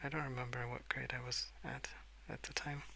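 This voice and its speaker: A man speaking in a soft, hushed ASMR ramble, drifting between murmur and whisper in a hesitant, unhurried way. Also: mid-range voice, level tone, vocal fry, a little hiss or hum. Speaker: host of an ASMR colouring channel. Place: indoors at a wooden desk.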